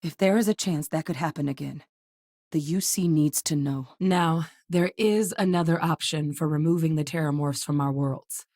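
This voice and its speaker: An actress voicing a seasoned, determined female military xenobiologist in a video game, speaking in a measured, earnest, matter-of-fact way. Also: low-pitched, a little mellow, no echo, studio quality. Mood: measured, serious. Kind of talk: scripted game dialogue.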